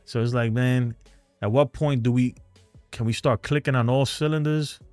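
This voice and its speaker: A man's voice: medium pitch, steady tone, moderate pace, darkish tone, no room sound, faint music behind. A man with a New York accent hosting a fan live stream, chatting loosely and rambling as he answers viewer comments. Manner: rambling, talkative, loose and frank.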